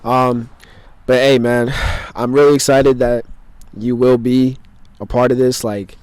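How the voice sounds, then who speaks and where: A man's voice: medium pitch, level tone, a little slow, a little mellow, clear, resonant tone, no echo. A man hosting a podcast in casual chat, teasing his guest, laughing between lines and often trailing off mid-thought. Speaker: podcast host.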